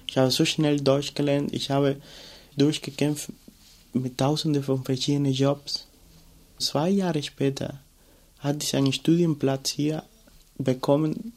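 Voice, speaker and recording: Reflective man, gravelly voice. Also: mid-range voice, a little mellow. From Cuba. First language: Spanish. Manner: reflective, searching for words with many fillers. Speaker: interviewee. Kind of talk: personal storytelling.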